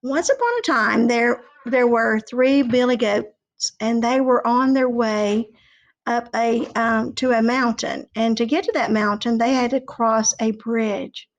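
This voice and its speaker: A woman speaks as a teacher to young children, explaining and storytelling in simple words. Her voice sits a little high and she goes very slowly and deliberately. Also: low-quality recording. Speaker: teacher telling a folk tale to children. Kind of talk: children's lesson and oral storytelling.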